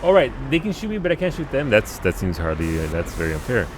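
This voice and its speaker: A man's voice: lowish voice, wildly swooping pitch, quick pace, very noisy. A man casually chatting to his viewers while playing a game live, rambling and laughing at himself. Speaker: game streamer. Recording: game music playing underneath. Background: game music.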